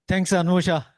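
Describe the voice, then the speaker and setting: A man emceeing a meetup in an office room, handing over to the next speaker with a few hesitant "uh"s. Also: sits high. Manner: unhurried, hesitant "uh"s.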